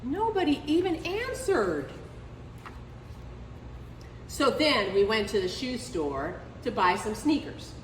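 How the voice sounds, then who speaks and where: High-pitched woman reading a storybook, echoey room. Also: sing-song. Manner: drawn-out emphasis on key words. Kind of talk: expressive picture-book read-aloud for children. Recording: echoey reverberant room with faint noise.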